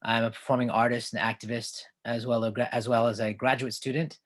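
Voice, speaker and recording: A man's voice: mid-range voice, flat delivery, fairly quick, a bit bright. A man from Mexico City, an activist and performing artist, giving a formal self-introduction on a video call. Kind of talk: online event presentation.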